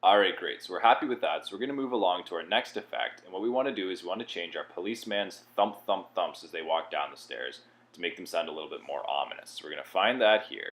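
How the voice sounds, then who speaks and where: Deep-voiced man presenting a tutorial. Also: lively intonation, fast, hardly any pauses, a bit bright. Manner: explanatory, plain-spoken. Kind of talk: instructional software walkthrough.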